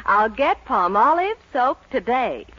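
Female jingle reader, vintage radio ad, lilting, very animated, husky, muffled recording. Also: fairly high pitch, a little slow. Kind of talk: 1940s radio advertising jingle, lilting rhymed delivery.

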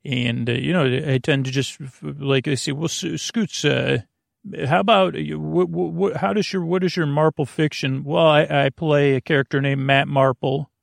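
Rambling gravelly-voiced man hosting a sleep podcast. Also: a little high, fairly even intonation, normal speed, dry recording, clean studio sound. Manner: meandering, goofy, full of fillers and restarts. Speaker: podcast host. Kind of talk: rambling bedtime podcast monologue.

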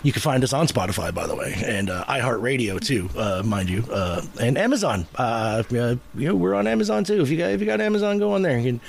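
A man hosting a casual podcast, talking in a halting, rambling, chatty way and often repeating himself. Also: mid-range voice, expressive, creaky, a little hiss or hum. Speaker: podcast host.

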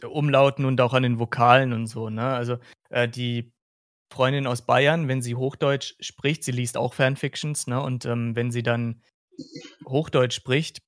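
A man's voice: mid-range voice, level tone, measured pace, no echo. Man chatting casually, close dry sound. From Hesse, Germany. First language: German. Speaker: podcast host. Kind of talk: casual podcast chat.

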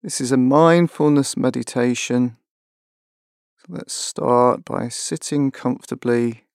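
A man leading a guided mindfulness meditation, giving gentle, measured instructions with long pauses. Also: medium pitch, creaky, clean tone, no echo, studio quality.

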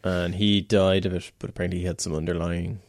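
A man's voice: low voice, flat delivery, fast, darkish tone, no echo, clean studio sound. A man in casual podcast chat, agreeable and chatty, often chiming in with agreement. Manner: agreeable, chatty, frequent backchannel.

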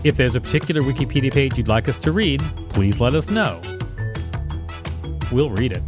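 A man's voice: medium pitch, lively intonation, moderate pace, music in the background. A man co-hosting a podcast, reading an article aloud and discussing it casually. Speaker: podcast co-host.

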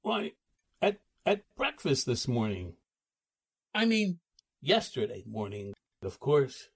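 A male actor plays a nervous, well-mannered husband in a 1940s-style radio drama. His husky voice jumps up and down in pitch. He answers haltingly, courteous but defensive. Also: sing-song, full character voice. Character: flustered, hesitant, well-mannered husband under questioning.

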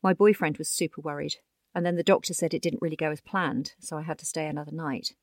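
A woman's voice: low-pitched, no echo, clean studio sound. A woman narrating a written magazine feature as a scripted audio read. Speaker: narrator reading a magazine article.

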